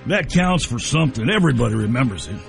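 Brief: grown man as a lively, sing-song boy lecturing his peers. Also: mid-range voice, fast, creaky, faint music behind, pitched below the performer's own voice. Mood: insistent. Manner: lively, teasing, keeps saying "boys and girls". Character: grown man playing a preachy boy debater.

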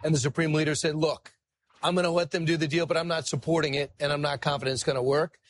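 A man hosting a talk show, asking probing questions in a brisk, conversational way. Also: a little high, steady tone.

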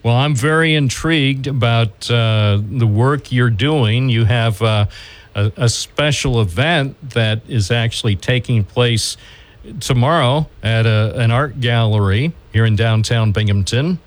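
A man hosting a local radio morning show, interviewing a guest. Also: medium pitch. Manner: measured, with pauses and fillers. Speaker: radio host.

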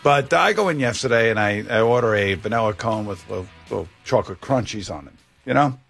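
A man hosting a casual radio talk show, telling personal stories in a rambling, halting way. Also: lowish voice, lively intonation, creaky, a bit bright, faint music behind. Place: radio studio.

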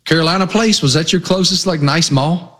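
A man's voice: fairly high pitch, a little fast, a bit bright. Folksy man with a Southwest Virginia accent. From Southwest Virginia, United States. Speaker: sports radio show co-host. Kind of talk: casual chat.

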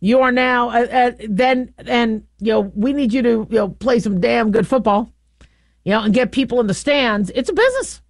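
A woman's voice: on the high side, expressive, moderate pace, no room sound, clean studio sound. A woman hosting a call-in radio talk show, talking in a loose, rambling way with many restarts and fillers.

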